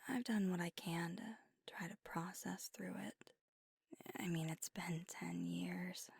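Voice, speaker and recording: A woman voicing a gravelly-whispered young vampire. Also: lowish voice, fairly even intonation, unhurried, dry recording, clean studio sound, exaggerated voice. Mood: wistful. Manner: soft whispering, intimate. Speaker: ASMR roleplay performer. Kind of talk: ASMR roleplay. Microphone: close mic.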